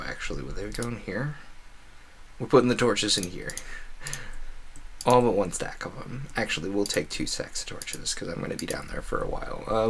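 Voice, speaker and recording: A man's voice: fairly low pitch, a bit bright, a little hiss or hum. A man doing casual video game stream commentary, rambling playfully and thinking aloud with a self-deprecating streak.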